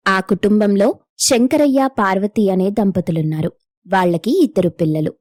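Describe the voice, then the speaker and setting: A woman's voice: mid-range voice, normal speed, a little mellow, no echo, clean studio sound. A woman storyteller reading a scripted moral story as narrator.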